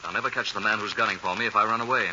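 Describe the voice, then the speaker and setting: A man playing an urbane, unflappable gentleman detective in 1940s radio drama, crisp, formal and self-assured. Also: fairly low pitch, fairly even intonation, rapid-fire. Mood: determined. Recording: muffled, noisy 1940s radio recording.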